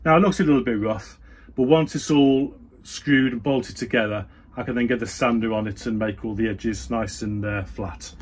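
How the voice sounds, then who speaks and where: A man's voice: mid-range voice, steady tone, moderate pace. A middle-aged man casually talking through a hands-on build, thinking aloud as he works in a small indoor workshop. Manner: thinking aloud while working with his hands. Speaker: DIY radio hobbyist vlogger. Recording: clear background noise, room ambience.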